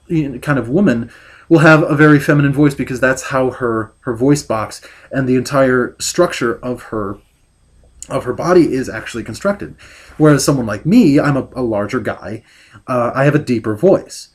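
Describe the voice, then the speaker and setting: Male book reviewer, mid-range voice, exasperated and ranting, halting delivery with lots of restarts, home desk mic. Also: medium pitch. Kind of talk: critical book review rant.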